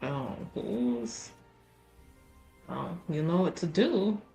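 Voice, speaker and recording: A woman playing a tabletop roleplaying game, chatting casually and improvising in character in a halting, easily distracted way. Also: low-pitched, expressive, gravelly, warm tone, faint music behind.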